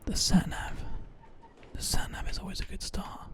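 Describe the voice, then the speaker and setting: A man narrating gameplay in a soft, slow, musing ASMR whisper.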